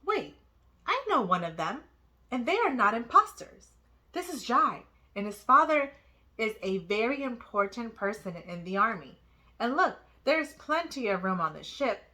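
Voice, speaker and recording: A woman's voice: fairly high pitch, sing-song, moderate pace, bright, forceful tone. A woman voicing a firm, fair army colonel in a storybook, assertive and reassuring.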